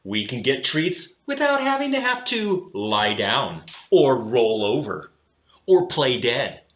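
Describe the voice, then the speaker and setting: A self-assured storybook dog who runs the club , played by a man. His delivery is unhurried and explanatory, with big swings in pitch. The sound is bright and roomy. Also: sing-song. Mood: proud. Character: male pet dog, cool self-assured club boss. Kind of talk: storybook character voice.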